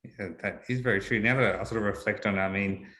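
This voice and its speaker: Host, male, quick talker, lowish voice, conversational. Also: fairly even intonation, bright, forceful tone. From Australia. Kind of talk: casual panel chat.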